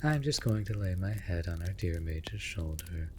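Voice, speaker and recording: A man's voice: low-pitched, expressive, moderate pace, a little mellow, a little hiss or hum. A man voicing a male raven familiar in a fantasy comfort audio drama, affectionate and cheeky, with mock-polite, playful banter. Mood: drowsy, affectionate. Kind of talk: scripted comfort audio roleplay.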